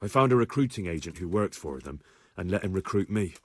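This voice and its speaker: An earnest peasant spy. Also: male voice, deep voice, expressive, a little fast, a little mellow. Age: young adult. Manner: respectful, earnest. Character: young male peasant hero turned spy. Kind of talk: video game voice acting.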